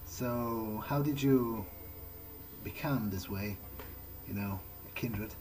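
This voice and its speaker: A man's voice: fairly low pitch, slow pace, a little mellow, slight background noise. A man improvising in-character commentary as he plays a video game, hesitant and musing. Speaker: gamer playing in character. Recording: voice-over with game music behind. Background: video game music.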